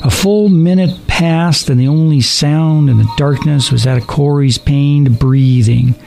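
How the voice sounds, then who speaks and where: A man's voice: on the high side, unhurried, gravelly, warm tone. A man narrating and hosting a radio story programme in a scripted storytelling style. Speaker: narrator and host.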